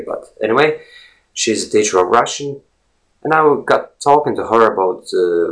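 A man's voice: on the low side, gravelly, fairly bright tone, poor audio. A Latvian man in his forties, a native Latvian speaker talking in English, giving a rambling casual monologue with many fillers and repeated words. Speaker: language blogger.

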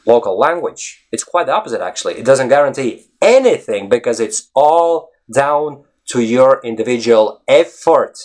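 A man's voice: medium pitch, darkish tone. A man from Latvia, a native Latvian speaker with a Latvian accent, talking casually and emphatically to his online audience as an English-learning vlogger. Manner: emphatic, conversational, often checks with "you know what I mean". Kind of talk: casual explanatory talk to viewers.

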